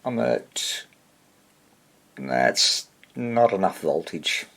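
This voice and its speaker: Husky-voiced man thinking aloud. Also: low voice, big pitch swings, very slow, fairly bright tone. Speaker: vintage radio repair hobbyist hosting video. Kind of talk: casual hands-on repair commentary.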